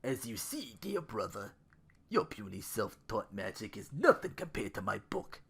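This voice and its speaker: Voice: medium pitch, sing-song, moderate pace, vocal fry, slightly rough, exaggerated voice. A performer playing a smug, taunting princess. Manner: taunting, formal. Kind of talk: dramatic fan fiction reading.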